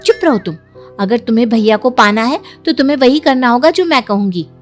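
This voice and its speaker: Woman acting a spiteful, high-voiced young sister-in-law. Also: expressive, brisk, faint music behind, lightly acted. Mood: bossy, scheming. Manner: taunting, bossy. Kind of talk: animated Hindi moral story dialogue.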